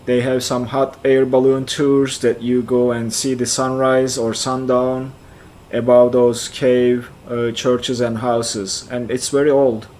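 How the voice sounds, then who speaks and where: A man in his forties with a Turkish accent, a native Turkish speaker, talking in a relaxed live-stream way. He reads out chat and answers it, thinking aloud as he goes. His voice sits in a middling pitch. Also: slow. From central Turkey. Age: 40s. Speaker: flight sim streamer.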